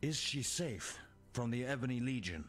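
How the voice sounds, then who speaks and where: An actor playing a troubled, learned survivor in a fantasy video game, dramatic and brooding, dropping to a hush. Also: male voice, mid-range voice, lively intonation, moderate pace. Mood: astonished, urgent. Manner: brooding, dramatic, hushed line endings. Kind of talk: video game character dialogue. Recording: music behind the voice.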